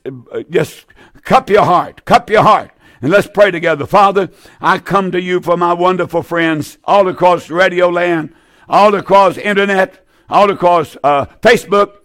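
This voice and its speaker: An older man with a high-pitched voice delivers an evangelical sermon as a radio preacher. He exhorts his listeners directly, repeating key phrases and putting questions to them. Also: fairly bright tone.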